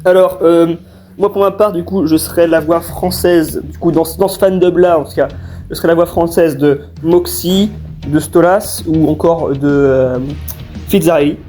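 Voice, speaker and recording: A young man giving an informal, halting explanation as a fan dub creator, answering questions. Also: on the high side. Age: young adult. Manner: hesitant, halting delivery. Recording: faint music behind.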